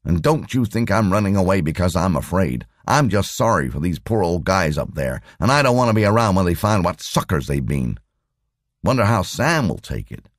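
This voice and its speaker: A man's voice: deep voice, big pitch swings, normal speed, no echo, studio quality, voice pushed up, full character voice. A man reading an audiobook voices an uneasy, weary male engineer in a plain, slightly raised voice. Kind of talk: audiobook dialogue.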